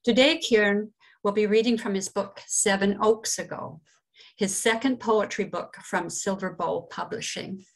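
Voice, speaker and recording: A woman's voice: mid-range voice, steady tone, measured pace, gravelly, rough recording. Woman from Canada reading an author bio. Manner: plain, friendly reading of a bio, stumbling on a name. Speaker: event host. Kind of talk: introducing an author at a book launch. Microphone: video call.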